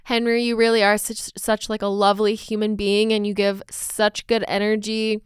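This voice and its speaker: A woman co-hosting a podcast in a casual, chatty way, with an enthusiastic, expressive delivery. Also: medium pitch, normal speed, clear, resonant tone, dry recording, studio quality. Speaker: podcast co-host.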